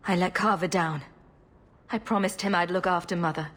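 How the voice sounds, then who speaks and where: A woman playing a caring, steady mage heroine in a fantasy video game, speaking earnestly and gently. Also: on the low side, moderate pace. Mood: grieving. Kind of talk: dramatic video game dialogue. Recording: reverberant sound with faint music.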